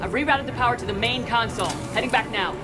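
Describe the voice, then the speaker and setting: A man's voice: very high voice, fast, loud music behind the voice. An actor voicing a hardened male commander who speaks in short, decisive lines. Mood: focused. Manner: terse, clipped, decisive. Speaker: military commander.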